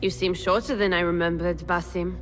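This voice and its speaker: An actress playing a blunt, sharp-tongued woman assassin in a game, with a scornful edge. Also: lowish voice, level tone, a little fast, faint music behind, close to an everyday voice.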